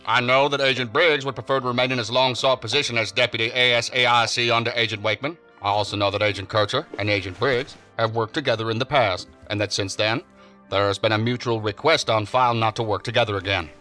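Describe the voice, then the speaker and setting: Man acting a stern, bright-voiced agency boss. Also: mid-range voice, steady tone, soft background music, lightly acted. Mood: commanding, impatient. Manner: formal, firm, commanding, measured. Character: stern, authoritative male assistant director of a federal agency. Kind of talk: science fiction audio drama acting.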